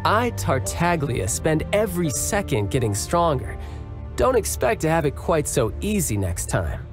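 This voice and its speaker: Suave playful young warrior, animated tone, doting big brother, loud game music behind. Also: male voice, fairly high pitch, unhurried. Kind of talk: video game dub.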